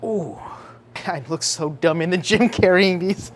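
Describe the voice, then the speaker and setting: A man's voice: sits high, a little slow, music in the background. Chatty New Jersey man vlogging. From Central New Jersey. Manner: chatty, upbeat, direct address to viewers. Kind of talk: casual vlog talk to viewers.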